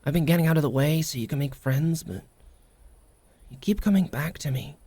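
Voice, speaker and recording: A woman playing a prickly, vulnerable, secretly tender young wolfgirl in an intimate ASMR roleplay, speaking haltingly and often dropping into whispers. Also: deep voice, slightly rough, no echo. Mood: vulnerable, grateful. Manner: halting, guarded, softly emotional.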